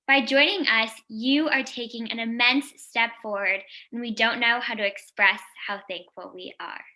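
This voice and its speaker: A woman's voice: a little high, fairly even intonation, moderate pace, pushed and bright, poor audio. A young woman hosting a live stream fundraiser, upbeat and welcoming, speaking straight to the viewers. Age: young adult. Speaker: host, founder of youth nonprofit.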